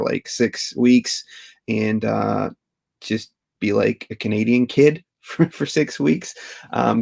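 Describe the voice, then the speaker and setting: A man's voice: mid-range voice, vocal fry, darkish tone. A man, hosting a live question-and-answer stream, chatting casually and chuckling as he reads viewer comments. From Belgium. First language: Dutch. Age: 30s. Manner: chatty, chuckles often, restarts words. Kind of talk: casual livestream chat reading viewer comments.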